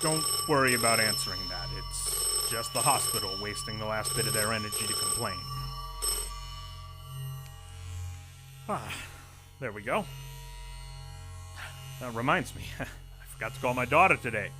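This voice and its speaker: An actor playing a pompous, bullying corporate vice president in an audio drama, imperious, condescending and sarcastic. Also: male voice, lowish voice, animated, moderate pace, long pauses, noisy background, natural voice. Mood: callous, breezy. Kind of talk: theatrical audio drama acting.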